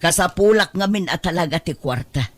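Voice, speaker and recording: A woman's voice: lowish voice, animated, fairly quick, dry recording. A woman with an Ilocano Filipino accent playing a needy, reproachful middle-aged mother in an audio drama, plaintive and nagging.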